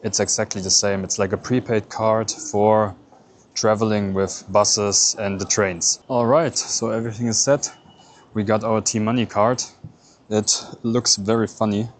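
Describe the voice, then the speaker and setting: A man's voice: fairly low pitch, level tone, a little slow. A man whose first language is German, narrating a casual travel vlog and explaining each step as he goes. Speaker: travel vlogger. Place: airport and busy city street.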